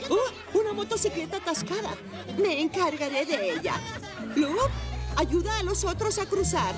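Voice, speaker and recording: Authoritative motorcycle lady, kindly, high voice, very animated, formal, cartoon dub, background music. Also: normal speed. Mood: businesslike. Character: kindly authoritative adult female motorcycle, school crossing guard. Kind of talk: animated children's cartoon dubbing.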